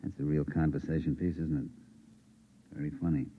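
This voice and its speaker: A man's voice: extremely low pitch, fairly even intonation, normal speed, breathy edge. An actor playing a weary, bitter, stubborn spaceman in a 1950s radio drama. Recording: dull 1950s radio recording.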